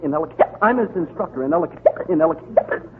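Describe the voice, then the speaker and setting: Man acting a flustered giggly fellow, stuttering restarts, highish swooping voice, old radio comedy, muffled sound. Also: animated, fast, lightly acted. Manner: stumbles on long words, restarts, giggles nervously. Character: flustered, giggly groaning instructor for wrestlers. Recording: muffled noisy 1930s radio broadcast.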